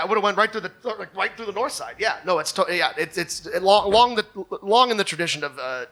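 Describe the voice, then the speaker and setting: A man hosts a comedy show live on stage. His voice sits a little high and is bright and punchy. He talks sarcastically, doubling back on words as he builds his commentary. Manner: sarcastic, halting with stammered repeats. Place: on stage before a live audience.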